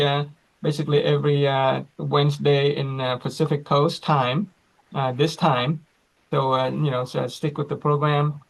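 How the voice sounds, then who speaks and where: A man hosting an online class on a video call, slow and reflective, with frequent fillers. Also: on the high side, gravelly, poor audio.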